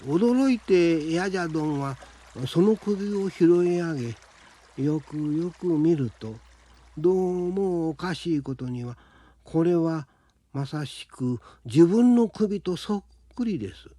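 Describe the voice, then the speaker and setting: A man reading a scripted folktale in a measured, deliberate storytelling manner with pauses. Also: on the high side, expressive, mellow, dry recording. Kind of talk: scripted Japanese folktale narration. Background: background music.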